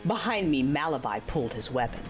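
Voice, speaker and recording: A woman acting a proud, passionate dark lady in an audio drama, narrating in the first person with intense, deliberate delivery. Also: a bass voice, very animated. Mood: tense. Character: proud dark Sith lady, commanding, passionate, wounded by betrayal. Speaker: narrator and lead character. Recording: music under the voice. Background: music.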